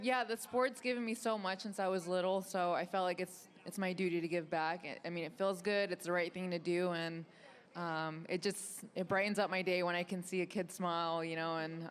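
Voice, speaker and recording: A woman, a sponsored runner, giving earnest, humble and somewhat halting interview answers. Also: lowish voice.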